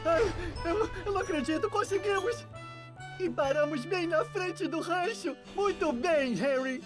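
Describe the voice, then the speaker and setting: A friendly Brazilian cartoon steam train speaks in a high voice. It is jolly, excitable and animated, explaining things eagerly with light music behind. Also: unhurried. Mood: delighted. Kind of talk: children's cartoon dubbing.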